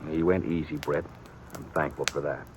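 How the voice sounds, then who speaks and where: An actor playing a stern young rancher in a radio western, talking in blunt, emphatic frontier phrases. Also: male voice, extremely low pitch, fairly even intonation, moderate pace. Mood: subdued. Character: stern, hearty young rancher, protective brother, fixed in opinions. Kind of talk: 1950s radio western acting. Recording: dull, noisy vintage radio recording.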